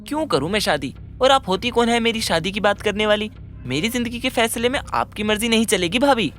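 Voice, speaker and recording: An actor voicing a protesting young unmarried brother in an animated family drama. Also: mid-range voice, fairly quick, soft background music. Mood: indignant.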